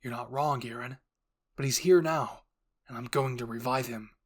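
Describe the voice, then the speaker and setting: A man's voice: mid-range voice, normal speed, lightly acted. A man voicing a stern, stoic military captain in an anime roleplay, curt and commanding. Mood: cold, resolute.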